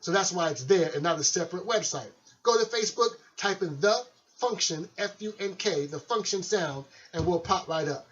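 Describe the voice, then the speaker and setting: A man on the higher side of the male range, hosting a radio show in a loose, chatty and friendly way. The recording is dull and lo-fi, with the top end rolled off. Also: moderate pace, a bit bright. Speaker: radio host and DJ. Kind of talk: casual radio show talk.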